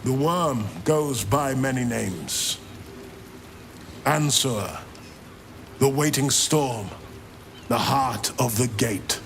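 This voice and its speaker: A man's voice: medium pitch, drawn-out words, creaky, pauses often, fairly bright tone, a little hiss or hum, full character voice. An actor playing a proud, anguished older grand duke and father, with solemn, formal delivery in a fantasy game. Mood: solemn, resolute. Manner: solemn, formal, theatrical oratory. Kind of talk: dramatic fantasy game acting.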